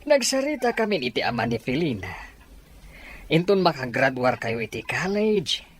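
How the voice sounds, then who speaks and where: A man playing an elderly, insistent matchmaking grandfather in a radio drama, with a persuasive, expressive delivery. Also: mid-range voice, moderate pace, slightly rough.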